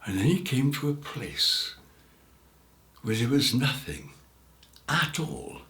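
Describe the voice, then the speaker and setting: A man's voice: mid-range voice, expressive, slow, sharp tone, roomy sound. A man telling a folk tale as a performer, theatrical, repeating phrases for effect. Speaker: storyteller.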